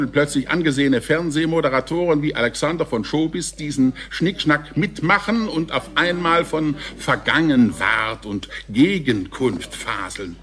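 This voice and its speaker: An actor playing a scornful, alarmed official chairing a crisis meeting, formal and oratorical, in a science fiction radio drama. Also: male voice, on the high side, fairly bright tone. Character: scornful, alarmed male crisis committee chief.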